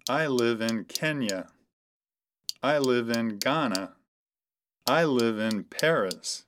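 Very low-voiced woman reading slow drills. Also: animated, background noise. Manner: slow, carefully articulated model speech. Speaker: language course speaker. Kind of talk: scripted model dialogues and drills.

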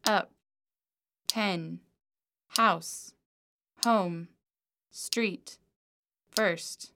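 A woman's voice: medium pitch, drawn-out words, creaky, sharp tone. A woman reading model dialogues and repeated words for a language course, articulating each one carefully. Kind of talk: scripted model dialogues and drills.

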